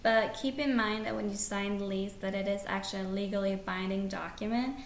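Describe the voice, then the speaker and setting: A woman's voice: mid-range voice, steady tone, brisk. A young woman co-hosting a podcast, explaining things in an earnest, plain way. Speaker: podcast co-host. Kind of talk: scripted podcast chat. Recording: echoey room, faint noise.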